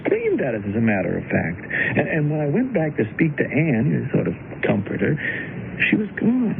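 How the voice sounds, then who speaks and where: An American actor playing an eager, nervous, lovestruck suitor in an old-time radio drama, earnest and stammering. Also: male voice, huge pitch swings, a little fast, gravelly, exaggerated voice. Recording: noisy lo-fi radio broadcast with rumble.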